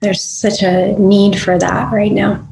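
A woman's voice: mid-range voice, level tone, takes it slowly, vocal fry, darkish tone, echoey room, a little hiss or hum, low-quality recording. A woman from Michigan, a guest on a podcast interview, speaking in a thoughtful way and searching for words. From Michigan, USA.

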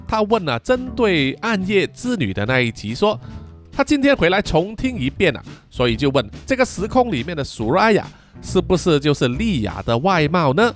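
A man, middle-aged, hosting a podcast in a casual, chatty style, reading out and answering listener comments with frequent sentence-final particles. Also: fairly high pitch. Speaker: podcast host.

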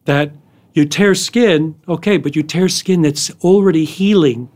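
A middle-aged man giving emphatic, measured analysis as a guest on a podcast, often repeating phrases. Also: a little high, a little mellow. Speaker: foreign policy guest. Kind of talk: interview analysis.

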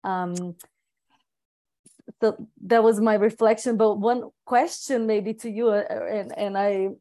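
A woman's voice: medium pitch, fairly even intonation, takes it slowly, dark tone. A woman whose first language is Portuguese, speaking English in a searching, hesitant way during a reflective group conversation. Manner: searching, hesitant, with many restarts.

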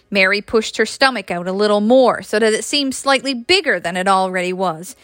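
A woman's voice: medium pitch, a bit bright, dry recording. A woman reading a scripted story aloud as a narrator.

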